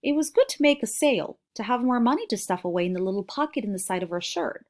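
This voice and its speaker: A woman's voice: on the high side, expressive, fast talker. A woman reading a children's novel aloud as a storybook narrator.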